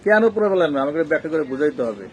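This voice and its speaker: Fast-talking Bangladeshi male interviewer. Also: a little high, very animated, darkish tone, some chatter behind, slight background noise. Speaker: interviewer and host. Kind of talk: conversational interview for viewers.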